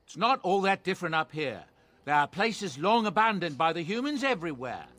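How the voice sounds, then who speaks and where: A man's voice: high voice, big pitch swings, a little fast, fairly bright tone. A man voicing a genial older dwarf merchant in a fantasy game, telling stories in a courteous, theatrical, earnest way. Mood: defensive, earnest. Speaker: travelling merchant. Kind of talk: video game character dialogue, storytelling.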